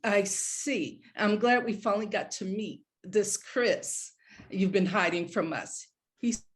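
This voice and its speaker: Retired mother, mid-sixties, played by a woman: naturalistic, middle-pitched, fond but guilt-tripping, table-read drama. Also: takes it slowly, lightly acted. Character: retired professor mother, sixty-five, guilt-tripping, warm but wounded. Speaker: actor in a script read. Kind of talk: live screenplay table read.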